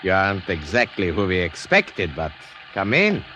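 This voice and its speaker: An actor playing a guarded, sinister man at a door, deliberately menacing, in a noisy old radio drama recording. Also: deep voice, wildly swooping pitch, sharp tone, exaggerated voice. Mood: guarded, sly.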